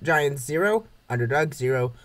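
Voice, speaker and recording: A man's voice: mid-range voice, expressive, moderate pace, fairly bright tone. A man reading scripted play-by-play narration of a comic baseball game. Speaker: narrator of a comic dub series.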